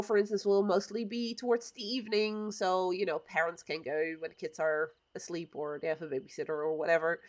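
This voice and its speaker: Chatty woman streaming a game. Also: mid-range voice. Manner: chatty and opinionated, muttering to herself as she builds. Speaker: livestreamer. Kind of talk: casual chat while playing a building game.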